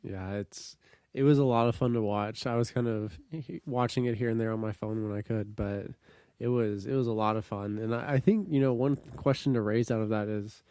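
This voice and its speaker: A man's voice: mid-range voice, clear, resonant tone, no echo, clean studio sound. A young man with a stuffy, nasal voice from a cold, co-hosting a casual sports podcast with many ums. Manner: relaxed, with frequent ums. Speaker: podcast co-host.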